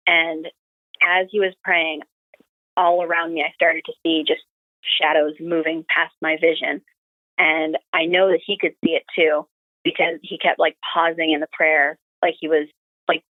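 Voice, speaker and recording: Young woman, low voice, phone-like sound. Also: little pitch movement, normal speed, no echo. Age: young adult. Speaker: podcast guest. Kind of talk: casual personal storytelling. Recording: narrow phone-like call audio.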